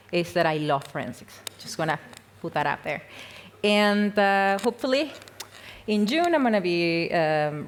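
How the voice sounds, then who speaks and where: A woman's voice: fairly low pitch, a little hiss or hum. A young woman from Peru, giving a casual, self-deprecating conference talk full of fillers and small laughs. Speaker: conference speaker. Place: conference hall.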